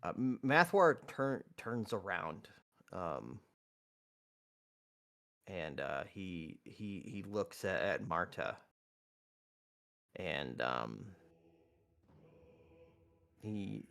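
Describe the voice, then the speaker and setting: A man's voice: low voice, very animated, very slow, gravelly, long pauses, a bit bright. A man playing a tabletop roleplaying game, chatting casually as a player. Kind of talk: casual, animated game chat.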